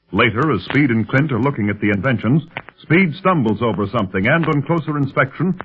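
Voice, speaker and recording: A man's voice: mid-range voice, slightly rough. A man announcing a 1930s radio serial in scripted story narration. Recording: muffled 1930s radio recording.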